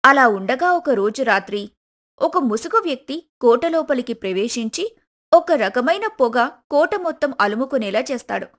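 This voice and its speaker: Higher-voiced woman narrating a story. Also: lively intonation, normal speed, a bit bright.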